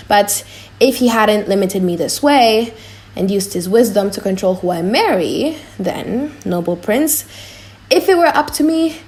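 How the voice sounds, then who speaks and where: A woman voicing a gracious young noblewoman in a dramatic audiobook, measured and courteous in manner. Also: mid-range voice, darkish tone, close to an everyday voice.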